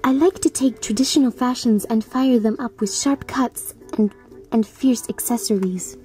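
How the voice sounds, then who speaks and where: A woman's voice: a little high, moderate pace, dark tone, distant mic, faint music behind, natural voice. A woman voicing a fashion-proud teenage dragon girl from a cartoon with an attempted Chinese accent.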